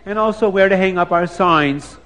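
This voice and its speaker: A man's voice: high-pitched, moderate pace, a bit bright, poor audio. A man playing a polite, eager travelling tailor, earnest and a bit hesitant, in a children's story. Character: polite, eager male travelling tailor, boastful about work.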